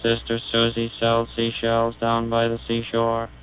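A man demonstrating an early speech synthesizer, speaking in a measured, explanatory way through a buzzy vocoder. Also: medium pitch, monotone, clear, resonant tone. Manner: measured, explanatory, recites test sentences. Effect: vocoder-resynthesized voice, buzzy and synthetic. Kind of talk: technical demonstration. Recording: tinny 1930s archival recording with mains hum.

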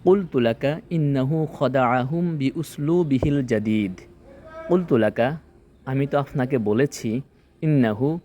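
Male tutor, mid-pitched mellow voice, didactic chunked delivery of a scripted lesson, slight background noise. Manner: didactic, enunciates phrases in small chunks. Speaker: language teacher. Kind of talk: scripted lesson, phrase-by-phrase translation.

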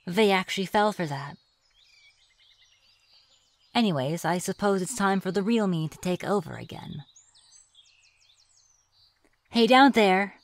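Woman playing a slow-talking, teasing forest witch. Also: fairly low pitch, no room sound. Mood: gloating. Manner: playful, mocking, drawn-out taunting. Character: reclusive, teasing, flirtatious witch of the deep woods. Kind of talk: ASMR fantasy roleplay.

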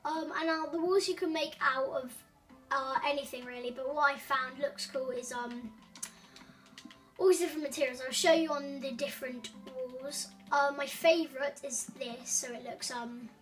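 A woman with a high voice narrates a casual game tutorial, wandering through her points with frequent ums and corrections. She sounds set back from the microphone, with faint music playing underneath. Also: steady tone, normal speed, a bit bright, distant mic. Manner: rambling, many ums and self-corrections. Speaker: gaming tutorial host.

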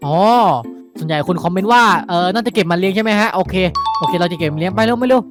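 A man's voice: sits high, very fast. A man narrating a game role-play vlog, chatty and repetitive, speaking straight to his viewers. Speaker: video creator narrating game skits. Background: background music.

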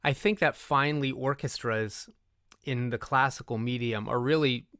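A man's voice: medium pitch, moderate pace, a bit bright, no echo, studio quality. A middle-aged man answering interview questions in a conversational, talkative, enthusiastic way. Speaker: interview guest, music composer.

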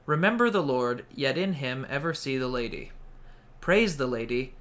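A man's voice: medium pitch, expressive, normal speed, a bit bright. Man reciting scripted ritual lines. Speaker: co-reader of ritual parts.

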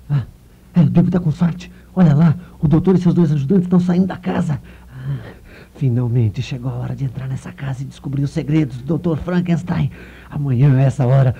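A man playing a nosy, jittery reporter muttering to himself in a comic radio play, with a creaky voice. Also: mid-range voice, mellow, a little hiss or hum. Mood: excited. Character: nosy, jittery newspaper reporter snooping alone. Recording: dull lo-fi radio recording.

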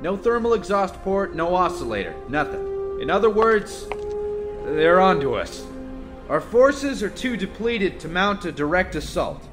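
A man's voice: high voice, big pitch swings, measured pace, over music. A man acting an earnest rebel officer giving a military briefing in an audio drama, urgent and declarative.

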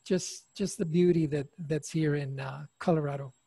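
A man in his fifties from Chile, a success coach speaking calmly as a guest on a video call through a headset. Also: a little high, normal speed, gravelly, slightly rough, dry recording.

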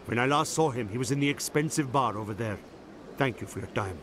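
An actor as courteous grieving widower. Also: male voice, medium pitch, fast, noisy background, natural voice. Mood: sorrowful. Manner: courteous, formal. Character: polite, grieving widower, formal and dignified man. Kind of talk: video game voice acting.

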